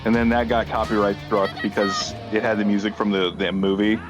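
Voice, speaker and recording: A man's voice: lowish voice, flat delivery, brisk, highs cut off. Rambling male streamer over music. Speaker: livestreamer. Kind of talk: casual chat on a livestream.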